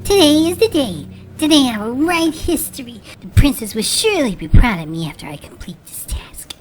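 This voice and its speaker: A woman voicing an earnest, excitable female pony magician with a theatrical manner that moves between hushed and shrieking, in an animated fan drama. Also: sits high, moderate pace, soft background music. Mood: grandiose. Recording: echoey room, long reverb, background hiss.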